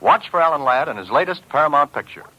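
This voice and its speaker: American radio announcer man, animated pitch. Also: fast talker, gravelly, highs cut off, over music. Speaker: radio announcer reading closing credits. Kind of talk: old-time radio announcing. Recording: old radio broadcast recording.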